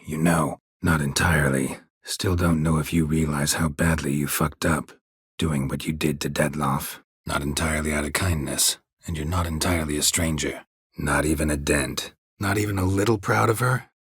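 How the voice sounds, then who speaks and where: A man playing a gruff, stoic, sardonic monster-hunting mercenary in a video game, terse and deadpan with a gravelly voice. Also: a bass voice, no echo, clean studio sound, exaggerated voice. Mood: grim, resolute. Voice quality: gravelly, husky. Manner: terse, deadpan, clipped sentences. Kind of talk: video game dialogue acting.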